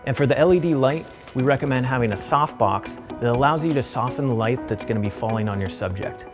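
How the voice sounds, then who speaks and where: A man's voice: mid-range voice, quick pace, music in the background. A man giving a casual spoken demonstration as a filming instructor.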